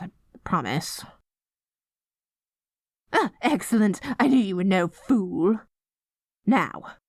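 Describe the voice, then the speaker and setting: A woman's voice: on the low side, a little mellow, no echo, clean studio sound, exaggerated voice. A woman playing a dorky, lovestruck evil queen in an ASMR roleplay, stammering and flustered between pompous villainous proclamations. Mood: tender, shy. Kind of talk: ASMR roleplay audio drama.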